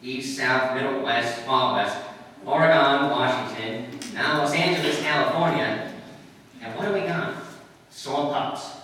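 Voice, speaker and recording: A man's voice: mid-range voice, a little slow, sharp tone, slight background noise. Man as grumbling police sergeant in cavernous hall. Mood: discouraged. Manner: blunt, slangy, discouraged. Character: gloomy, grumbling male police sergeant sidekick. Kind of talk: old-time radio mystery acting. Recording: cavernous hall, distant mic, music behind.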